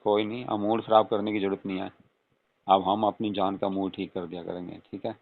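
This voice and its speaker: A man's voice: low voice, little pitch movement, fairly quick. A man playing a flirtatious, coaxing father-in-law in a casual, intimate phone-call role-play, murmuring and adding questioning hums. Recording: tinny phone-line call recording.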